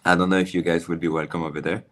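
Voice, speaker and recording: A man's voice: low voice, level tone, racing. A man hosting a casual interview over a video call, repeating phrases with loose grammar. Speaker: host.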